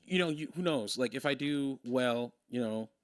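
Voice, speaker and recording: A man's voice: medium pitch, moderate pace, no room sound. Twenties male streamer, casual and rambling, laughs easily, dry close sound. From Maryland. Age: 20s. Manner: rambling, thinks out loud, laughs often. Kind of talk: casual chat on a game stream.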